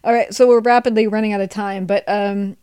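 A woman's voice: mid-range voice, steady tone, a little fast, dark tone, clean tone, no echo, clean studio sound. A woman hosting a casual, chatty interview. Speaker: podcast host.

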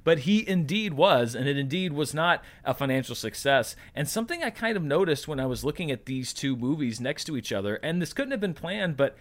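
A man with a slightly high-pitched voice hosts a commentary show. He talks in a casual, conversational way, like a presenter recapping news, and drops in wry asides as he goes. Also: very animated, brisk, hardly any pauses. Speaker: podcast host. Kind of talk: casual box office commentary.

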